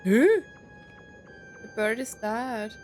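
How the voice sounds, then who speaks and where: A woman's voice: a little high, lively intonation, drawn-out words, darkish tone, faint music behind. A young adult woman giving casual let's play commentary, musing aloud with jokey asides. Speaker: gaming streamer.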